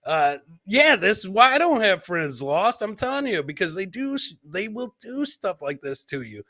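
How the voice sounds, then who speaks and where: A man with a slightly high-pitched voice hosts a comedy clip show. He comments casually, as if chatting live, and likes to repeat a phrase for comic emphasis. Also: very animated, normal speed, bright, forceful tone.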